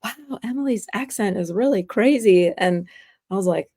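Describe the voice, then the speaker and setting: A woman's voice: mid-range voice, animated, normal speed, warm tone. A young woman guest chatting casually in an interview, rambling with frequent laughs. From United States, raised partly in France. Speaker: interview guest, graphic artist.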